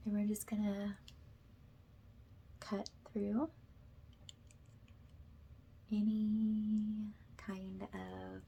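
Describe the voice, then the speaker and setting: Softly whispering ASMR woman, unhurried and even. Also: medium pitch, gravelly, slight background noise. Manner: soft-spoken, often drops into a whisper. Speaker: ASMR energy healer, host. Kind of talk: ASMR, guided spiritual cleansing.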